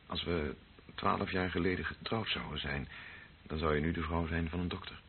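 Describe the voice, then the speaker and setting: An actor playing an earnest, troubled clergyman in a radio drama, speaking gravely and haltingly as he pleads. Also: low-pitched, steady tone. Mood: tender, earnest. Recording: narrow phone-like band, hissy old recording.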